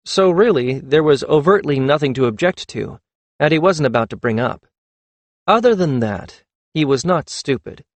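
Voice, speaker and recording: A man reading a fantasy novel aloud at a steady, measured pace, with a mid-range voice. He sits close to the microphone in a dry, deadened booth with no echo at all. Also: medium pitch. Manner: measured, even storytelling. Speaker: audiobook narrator. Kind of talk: scripted fantasy audiobook narration.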